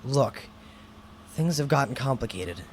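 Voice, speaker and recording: A woman's voice: extremely low pitch, lively intonation, a little slow, breathy edge, full character voice. A woman playing a muddled, conscientious and wary school-age kid in a radio drama, speaking hesitantly and plainly.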